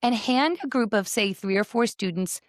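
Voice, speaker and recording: A woman's voice: medium pitch, lively intonation, moderate pace, no echo. American woman hosting, dry close sound. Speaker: podcast host. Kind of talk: conversational podcast hosting.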